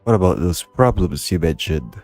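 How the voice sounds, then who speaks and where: A man playing a calm, terse young adventurer in a video game, speaking in an understated way. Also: deep voice, normal speed, faint music behind. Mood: calm, curious.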